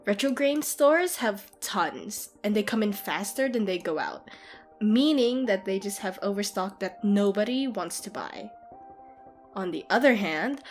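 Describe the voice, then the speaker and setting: Excitable retro-gamer girlfriend, played by a woman, mid-pitched, playful and teasing, casual audio roleplay over background music. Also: natural voice. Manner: giggly, playfully ranting, animated casual talk. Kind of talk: audio roleplay, casual one-sided chat to a partner. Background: game-like ambient music.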